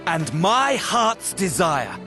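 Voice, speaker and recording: A crazy flamboyant game villain, high voice swinging all over, slow n theatrical, mocking. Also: male voice, sing-song, heavily acted. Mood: rising glee. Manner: theatrical, mocking, swinging from hushed drawl to manic cries. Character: flamboyant nihilistic villain, gleefully mad theatrical showman. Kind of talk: video game cutscene acting. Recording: music behind the voice.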